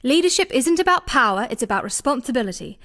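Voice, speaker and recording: An actress playing a blunt, sardonic relic hunter in a fantasy video game, teasing and plain-spoken. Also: female voice, sits high, big pitch swings, fast talker, fairly bright tone, exaggerated voice. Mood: warm, admiring. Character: sardonic, blunt woman relic hunter, affectionate with her lover.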